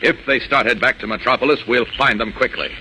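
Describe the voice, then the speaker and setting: Bright, forceful, commanding superhero. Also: male voice, mid-range voice, poor audio. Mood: brisk, focused. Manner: firm, deliberate, interrogating delivery. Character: stern, righteous male superhero, commanding and confident. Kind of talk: 1940s radio serial acting. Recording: bright vintage radio recording, faint noise.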